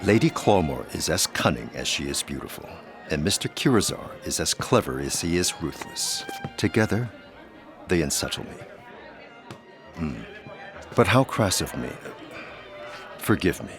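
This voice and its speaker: An actor playing a suave, calculating aristocratic gentleman in a gothic audio drama, speaking in courtly, formal phrases. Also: sits low, big pitch swings, moderate pace, long pauses, music in the background, crowd noise. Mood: courtly, charming.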